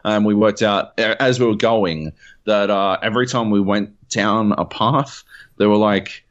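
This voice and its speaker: A man's voice: lowish voice, unhurried, dull audio. A man with an Australian accent, co-hosting a podcast and telling a long, swear-filled story with lots of "uh" and "like". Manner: animated, profane storytelling with many fillers. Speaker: podcast co-host. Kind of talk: casual podcast chat.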